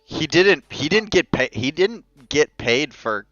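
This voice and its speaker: A man's voice: fairly high pitch, big pitch swings, unhurried, a bit bright, dull audio, soft background music. A man in his late twenties on a casual stream, arguing skeptically and picking apart claims. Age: late 20s. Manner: skeptical, argumentative. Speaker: stream co-host.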